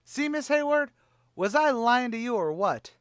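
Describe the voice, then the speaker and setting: A man acts a bluffing tavern manager from a 1940s-style radio comedy. His voice rides high and swings widely as he boasts and stammers in rough grammar. The sound is close and dry. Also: lively intonation, unhurried, no echo. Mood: showing off.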